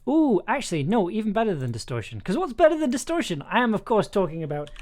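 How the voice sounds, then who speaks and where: A streamer talking casually and haltingly while making music, thinking aloud with playful exclamations. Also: medium pitch, big pitch swings, a little mellow. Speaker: streamer, audio drama producer and composer. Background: music being worked on, keyboard clicks and typing.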